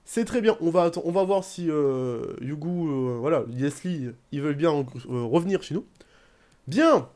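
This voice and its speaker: A young man commenting casually on his football video game career, rambling, with bursts of excitement. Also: a little high, normal speed. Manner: chatty, rambling, bursts into excited shouting at goals. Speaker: gaming YouTuber.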